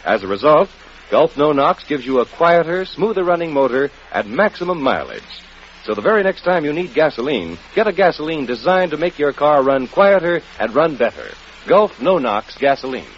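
A man's voice: a little high, sing-song, moderate pace. A man reading a scripted 1940s radio commercial as a folksy announcer who addresses listeners directly. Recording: muffled, faintly noisy vintage radio broadcast.

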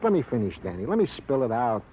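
An actor playing an earnest, sorrowful, long-winded police sergeant with pompously formal wording in a radio drama. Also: male voice, medium pitch, sing-song, fast talker, slight background noise, lightly acted. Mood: anguished, plaintive. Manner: wordy, pompously formal, plaintive. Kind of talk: 1950s radio drama acting. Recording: muffled old radio recording.

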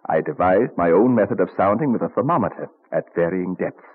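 An elderly, scholarly statesman with a deep voice that rises and falls in lively swells. He is fond and musing, savouring his words. It sounds like a muffled old radio play. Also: brisk, husky. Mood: fond, proud. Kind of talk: old-time radio drama acting. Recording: muffled vintage radio recording.

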